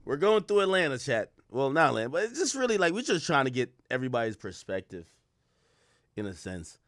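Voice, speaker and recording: A man's voice: medium pitch, big pitch swings, fairly quick, fairly bright tone, no echo, clean studio sound. A man from Jamaica hosting a livestream, chatting casually in hip-hop slang, rambling and often stammering as he circles back over his words. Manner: rambling, repetitive, frequently stammering mid-thought. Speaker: livestream host interviewing guests by call.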